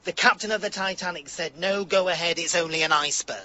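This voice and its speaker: A camp, catty English best friend in a radio comedy-drama. His voice is very sharp and forward, a little high, with theatrical, melodramatic delivery. The recording is slightly dull. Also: fast talker. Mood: catty, sarcastic.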